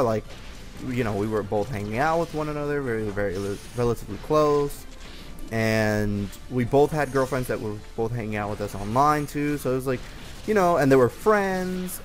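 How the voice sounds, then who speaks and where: A young man telling a personal story in a casual, rambling, hesitant conversational way. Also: mid-range voice. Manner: informal, hesitant, conversational delivery. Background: background music from a game.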